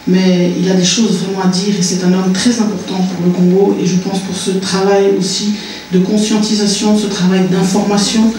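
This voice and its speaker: A man's voice: high-pitched, level tone, moderate pace, darkish tone, slight background noise. A man hosting the event, introducing the next speaker with admiration and some hesitation. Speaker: event host, moderator. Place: conference hall. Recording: echoey hall, distant mic.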